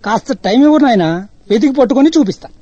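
An actor playing a gentle, forgetful old man who speaks slowly and mildly, always bewildered. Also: very high-pitched, expressive, no room sound, muffled recording. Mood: muddled. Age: older. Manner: slow, mild, bewildered.

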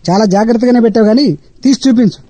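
An actor playing a harried train ticket collector, exasperated and pleading with passengers. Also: male voice, extremely high pitch, rapid-fire, mellow, dry recording. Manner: exasperated, pleading, formal address.

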